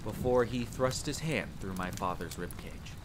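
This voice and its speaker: Campfire audio drama: male actor as world-weary vampire hunter telling his grim past, expressive and wry, stormy rain ambience. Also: on the low side, moderate pace, background noise, natural voice. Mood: grim, suspenseful. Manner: theatrical, expressive, wry asides. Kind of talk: dramatic first-person storytelling, audio roleplay. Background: rain and rolling thunder.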